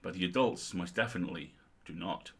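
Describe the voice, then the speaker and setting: A man's voice: sits low, brisk, gravelly, fairly bright tone, a little hiss or hum. A middle-aged man co-hosting a livestream, reading a humorous article aloud with wry, playful and sweary asides.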